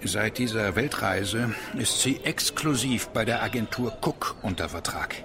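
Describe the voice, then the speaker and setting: An actor playing a boastful, lecherous, wealthy impresario with a smug manner in a radio drama. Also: male voice, lowish voice, lively intonation, measured pace, over music. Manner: smug, self-satisfied. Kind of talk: theatrical radio drama acting.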